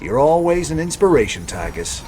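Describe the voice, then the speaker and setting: An actor playing a steady, seasoned rebel commander in a video game, laconic and wry. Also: male voice, fairly high pitch, moderate pace, a little hiss or hum. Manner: laconic, easygoing resolve. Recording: loud music behind the voice.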